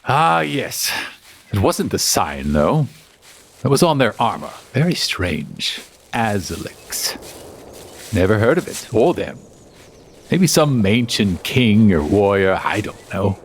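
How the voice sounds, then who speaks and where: A man's voice: on the low side, sing-song, takes it slowly, a little hiss or hum, exaggerated voice. A man playing a formal, bookish and haughty adventurer in a fantasy audio drama. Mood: musing, puzzled.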